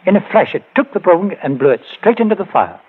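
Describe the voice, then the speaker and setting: An actor playing a skeptical, grieving man bent on avenging his brother, intense and emphatic, with a husky voice, in 1940s radio horror style. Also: sits high, big pitch swings, fast, heavily acted. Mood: tense, recounting. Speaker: supporting character. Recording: muffled 1940s radio broadcast recording.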